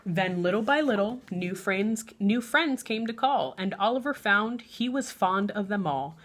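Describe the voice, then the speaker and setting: A woman reading a rhyming picture book aloud for children as a bedtime story, expressive and measured, stretching the ends of lines. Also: mid-range voice.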